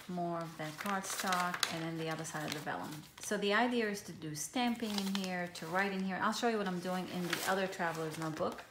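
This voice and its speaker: Hebrew-native woman, low register, easygoing rambling show-and-tell, roomy echo. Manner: relaxed, rambling, frequent ums and restarts. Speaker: craft vlogger. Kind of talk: casual show-and-tell of a handmade journal. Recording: echoey room with faint hiss.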